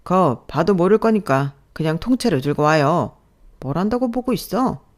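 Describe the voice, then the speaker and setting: A woman's voice: lowish voice, animated, normal speed, close to an everyday voice. A woman voicing a harsh office manager who insults and berates his staff, with a scolding, sneering manner. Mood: contemptuous scolding.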